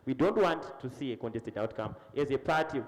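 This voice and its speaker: A man argues his party's case in a rush, words tumbling out fast and insistently. He restarts phrases as he goes. A long reverb hangs around him in the studio. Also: mid-range voice, expressive. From Zimbabwe. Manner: insistent, piles up points with restarts. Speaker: party politician on a panel. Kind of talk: impassioned political argument.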